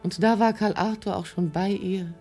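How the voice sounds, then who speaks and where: A woman's voice: mid-range voice, fairly even intonation, normal speed, music in the background. A woman giving dramatic literary narration in a radio drama.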